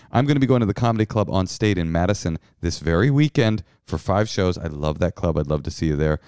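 A man's voice: fairly low pitch, darkish tone, smooth. A man co-hosting a comedy podcast from a hotel room, chatting in a teasing, self-mocking way. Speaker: podcast co-host. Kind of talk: casual comedic banter.

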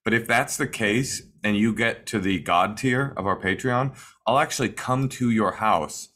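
A man's voice: on the low side, normal speed, sharp tone. A man co-hosting a comedy podcast from a hotel room, chatting in a teasing, self-mocking way. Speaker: podcast co-host. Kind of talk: casual comedic banter.

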